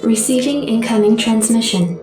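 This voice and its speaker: A woman's voice: on the high side, moderate pace. Female ship computer for a game: formal, robotic, heavy echo, music behind. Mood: impersonal, formal. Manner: formal, impersonal. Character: formal female computer assistant aboard a warship. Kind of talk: video game system announcement. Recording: heavily echoed voice under music.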